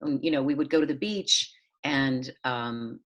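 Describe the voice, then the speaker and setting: A woman from Southern California, a playwright, musing and hedging in a relaxed chat on a video call. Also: a bass voice, a little slow, lo-fi sound. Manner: musing, hedging, lots of fillers and restarts. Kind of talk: casual conversation over video call.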